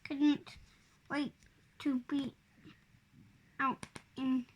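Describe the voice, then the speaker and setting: A five-year-old child reading a picture storybook aloud in a halting, word-by-word way. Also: high voice, flat delivery. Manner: halting, word-by-word early reader. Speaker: child reader.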